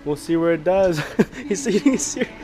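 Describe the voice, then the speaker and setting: A man, vlogging casually in an excitable, slangy, profane way. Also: high voice, normal speed. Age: 20s. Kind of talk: casual vlog chat to camera and friends. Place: big store and parking lot at night. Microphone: action camera at arm's length.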